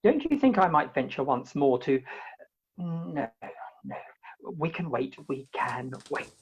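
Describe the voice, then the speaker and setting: A woman playing a bashful, self-righteous young bridegroom in a comic radio play, speaking in a mannered, fastidious way. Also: low-pitched, lively intonation, breathy edge, exaggerated voice. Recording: dull, lo-fi video-call recording.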